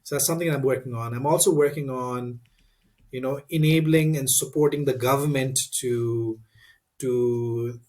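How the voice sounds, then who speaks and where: A man, a guest in an interview, answering thoughtfully with many pauses, fillers and restarts. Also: medium pitch, fairly even intonation, darkish tone.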